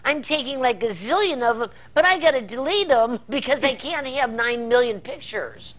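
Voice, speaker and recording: An older American woman hosting a casual TV interview in a folksy, halting way. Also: high voice, expressive, rough recording. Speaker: TV interview host. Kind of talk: casual talk-show interview. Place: indoor TV studio set.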